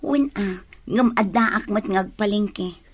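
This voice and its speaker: An actress playing a patient, long-suffering wife and mother in a radio drama, with a husky voice and an Ilocano accent. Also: medium pitch, a little slow. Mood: hurt.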